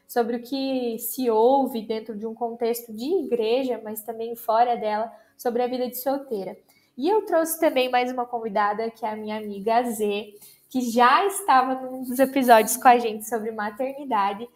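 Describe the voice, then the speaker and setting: A woman hosting a casual podcast chat, lively and chatty. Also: a little high, moderate pace. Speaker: podcast host.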